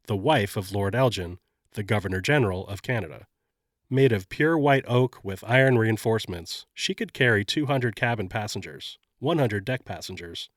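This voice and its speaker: A man hosting a podcast, sounding slightly nasal and congested while getting over an illness, narrating a scripted story in a measured way. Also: on the low side, no echo, clean studio sound. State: congested, recovering from covid. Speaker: podcast host.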